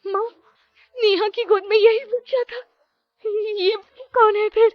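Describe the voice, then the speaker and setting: A trembling young bride pleading on the dark stairs of a haunted-house cartoon, played by a woman, pitched high, slightly muffled audio. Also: measured pace, no room sound. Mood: terrified, tearful. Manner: panicked, pleading, stammering. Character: frightened young pregnant daughter-in-law, anxious and tearful.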